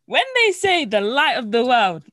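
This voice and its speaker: A woman's voice: fairly high pitch, sing-song, unhurried, fairly bright tone, no room sound, studio quality. A woman hosting a casual faith discussion, reading Bible verses aloud and rambling with frequent fillers. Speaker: host.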